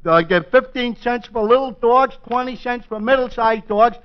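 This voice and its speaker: An actor playing a gentle, dim-witted New man, guileless and hesitant, in a 1940s radio comedy. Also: very high-pitched, normal speed, clean tone. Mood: guileless, cheerful. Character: gentle, dim-witted, good-natured male dog walker. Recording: dull, lo-fi 1940s radio recording.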